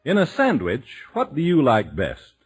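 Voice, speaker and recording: Very animated husky ad man. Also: mid-range voice, measured pace, soft background music. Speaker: commercial announcer. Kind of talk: vintage radio advertisement.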